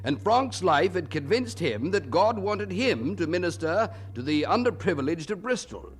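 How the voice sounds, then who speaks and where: A man's voice: high-pitched, very animated, moderate pace, slight background noise. A man playing a candid, wryly puzzled preacher who narrates his memories in a radio drama, reflective and conversational.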